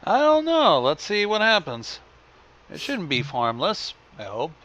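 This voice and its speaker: A man's voice: high-pitched, huge pitch swings, a little slow, pushed and bright. A man giving casual, wry, sarcastic commentary with casual swearing, over a lo-fi mic with faint noise.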